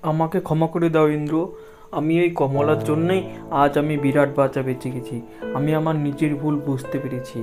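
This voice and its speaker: A man's voice: on the high side, level tone, a little fast, natural voice. Man acting a hot-tempered village landlord, music underneath. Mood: remorseful. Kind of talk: cartoon story dialogue.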